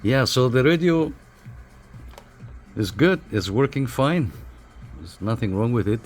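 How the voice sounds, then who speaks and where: Casting: male hobby reviewer, unhurried and informal, halting delivery, on the low side, faint music underneath. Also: lively intonation. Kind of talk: casual hands-on equipment review. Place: small apartment room.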